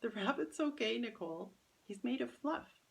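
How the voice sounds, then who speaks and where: A woman's voice: fairly high pitch, lively intonation, normal speed, creaky, pitched above the performer's own voice, rough recording. A woman voicing a kindly, whimsical old great-aunt soothing children in a storybook.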